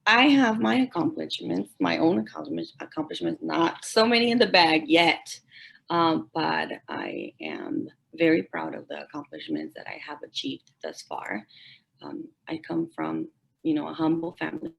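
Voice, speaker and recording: Hoarse, gravelly low-voiced woman. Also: very animated, poor audio. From Mexico. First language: Spanish. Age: 40s. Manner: halting, restarts and repeats phrases. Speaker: Spanish language teacher. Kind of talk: personal story told at a forum.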